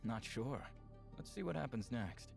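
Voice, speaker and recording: Calm, reassuring young-hero type played by a man, music behind. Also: mid-range voice, a little slow, gravelly. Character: calm, reassuring man bonded to an alien symbiont. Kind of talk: visual novel voice acting.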